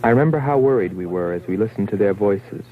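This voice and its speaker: An actor playing a grave astronaut who narrates a space mission in a scripted 1960s science fiction film. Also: male voice, on the low side, lively intonation, quick pace, lightly acted. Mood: somber, reflective. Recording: muffled, noisy old 1960s film soundtrack.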